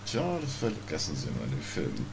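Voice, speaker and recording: A native German man giving casual, low-key commentary while playing a game. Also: huge pitch swings, fast talker, gravelly, noisy background. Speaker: let's play streamer.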